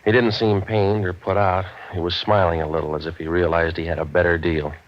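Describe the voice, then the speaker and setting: An actor playing a cynical, wisecracking private detective with an American accent, in a clipped, sardonic 1940s radio drama style. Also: male voice, low voice, flat delivery, fairly quick, natural voice. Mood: wry narration. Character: cynical wisecracking male private detective, world-weary and tough. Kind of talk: 1940s radio detective drama acting, hard-boiled first-person narration. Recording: muffled vintage radio recording.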